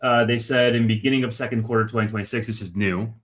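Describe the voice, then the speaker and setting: A man with a fairly low voice and a very bright, forward tone. He hosts a live financial stream in a conversational way, thinking aloud as he goes through the numbers. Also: fairly even intonation, fast talker. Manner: conversational, thinking aloud while reading figures. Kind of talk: casual live earnings commentary.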